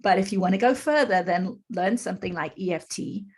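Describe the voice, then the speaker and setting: A woman's voice: mid-range voice, fairly quick, husky. A woman in her fifties, a podcast guest and spiritual teacher, explaining her experience in an earnest, flowing way. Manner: earnest, explanatory, speaks in long flowing runs. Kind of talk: conversational storytelling interview.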